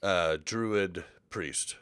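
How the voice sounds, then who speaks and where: A man's voice: sits low, extremely slow, fairly bright tone, clean tone, dry recording, clean studio sound. A man hosting a casual gaming podcast, talking in a rambling, informal way. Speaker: podcast host.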